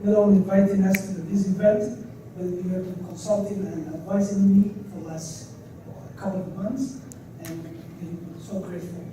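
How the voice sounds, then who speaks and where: A man's voice: sits high, level tone, normal speed, warm tone. Earnest man in an echoey hall. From Somalia. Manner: earnest, with many fillers and restarts. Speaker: restaurant owner speaking at a trade summit. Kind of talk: impromptu conference remarks. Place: large conference hall. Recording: echoey hall, distant mic, faint noise.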